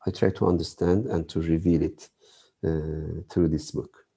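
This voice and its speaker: Man, novelist guest, english, halting with fillers, very dark voice, husky. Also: extremely low pitch, steady tone. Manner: thoughtful, many pauses and "uh" fillers. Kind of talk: reflective interview answers.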